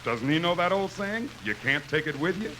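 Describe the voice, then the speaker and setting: A man's voice: fairly high pitch, lively intonation, a little fast, sharp tone, rough recording. A man playing an earnest, inquisitive household helper in a vintage radio comedy. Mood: earnest, curious. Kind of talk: 1950s radio comedy acting. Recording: vintage radio recording, faint noise.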